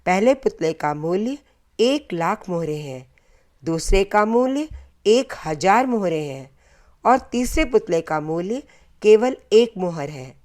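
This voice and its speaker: Slow-paced woman telling a moral tale. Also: medium pitch, lively intonation, darkish tone. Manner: measured delivery in short, deliberate phrases. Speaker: storyteller. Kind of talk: scripted moral folktale narration.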